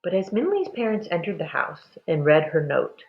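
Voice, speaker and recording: A woman storyteller reads a children's book with very lively, swooping intonation and a deliberate, dramatic delivery. Her voice is on the low side with a noticeable creak, and the recording sounds slightly dull. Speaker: read-aloud storyteller. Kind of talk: expressive children's storybook narration.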